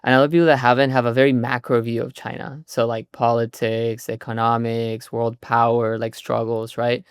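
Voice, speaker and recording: A man in his thirties from Chile, a founder answering interview questions in a relaxed, chatty way. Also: medium pitch, normal speed, smooth, no room sound, studio quality. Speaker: guest, startup founder.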